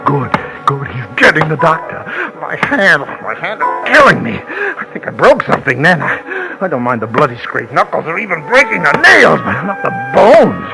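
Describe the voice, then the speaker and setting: A theatrical, scheming, guilt-crazed prisoner. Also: male voice, fairly high pitch, huge pitch swings, moderate pace, vocal fry, over music, full character voice, poor audio. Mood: pained, calculating. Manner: theatrical, melodramatic, muttering asides and ranting. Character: scheming prisoner feigning frenzied guilt-crazed madness to escape execution. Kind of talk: vintage radio drama acting.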